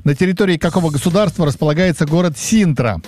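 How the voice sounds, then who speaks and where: A male radio host with a somewhat raised voice, firing off quiz questions in a brisk, matter-of-fact way. Between rounds he slides into chatty, joking asides, with faint music beneath. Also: a little high, darkish tone. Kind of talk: casual radio hosting, reading quiz questions.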